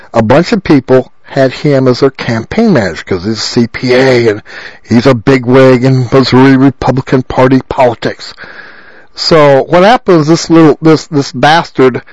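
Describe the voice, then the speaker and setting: A man with a loud voice, a political candidate telling a long rambling story with many repeats and fillers. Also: medium pitch, no room sound, muffled recording. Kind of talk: rambling monologue.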